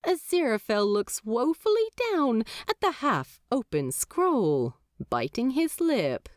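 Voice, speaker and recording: A woman reading scripted fiction as a narrator, in an expressive storytelling style. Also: on the high side, slow pace, no echo, clean studio sound. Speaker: audiobook narrator.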